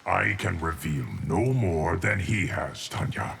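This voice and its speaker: Game warrior-sage: low, creaky gravel, solemn, cryptic, measured delivery, faint noise behind. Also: male voice, fairly bright tone, full character voice. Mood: solemn, stoic. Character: solemn, ancient male guardian of time, stoic warrior. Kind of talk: video game character acting.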